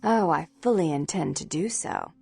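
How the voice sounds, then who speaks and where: A woman's voice: on the low side, normal speed, creaky, a little mellow, breathy edge, no echo. A woman voicing a haughty, controlling vampire nightclub owner in a video game, prim and condescending. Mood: businesslike, imperious. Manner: prim, condescending, formal diction. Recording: music behind.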